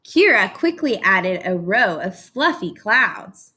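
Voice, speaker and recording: A woman reading a picture book aloud to young children as a teacher does, pausing to explain and ask simple, encouraging questions. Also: medium pitch, very animated, noisy background.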